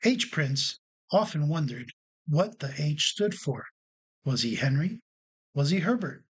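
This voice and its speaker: Guy reading a kids storybook out loud, voice a bit on the high side, scripted narration. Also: expressive, unhurried, breathy edge. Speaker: children's storyteller.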